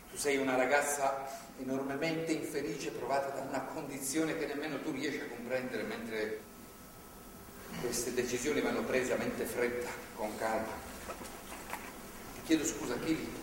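An actor playing a stern, self-righteous religious zealot in a stage drama, preachy and commanding. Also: male voice, medium pitch, lightly acted. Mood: cold, contrite. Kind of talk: live stage drama acting. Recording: distant, echoey theatre recording with noise.

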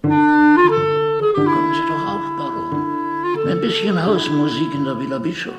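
An actor playing a sociable, confiding society gentleman in a radio play, speaking in a drawn-out, sing-song manner. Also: sits high, exaggerated voice. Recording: roomy echo under loud violin music.

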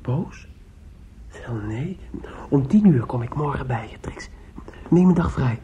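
An actor in a Dutch radio play playing an earnest young suitor, protective and quietly vengeful, probing and insistent with some hesitation. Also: male voice, medium pitch, expressive, measured pace, background noise, natural voice. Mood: tender, soothing. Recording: muffled, lo-fi old radio recording.

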